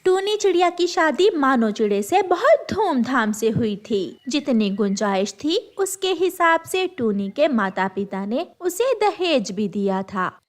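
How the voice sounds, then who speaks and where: A woman's voice: high voice, very animated, measured pace, few pauses. A woman narrating a children's moral story in a scripted storytelling style.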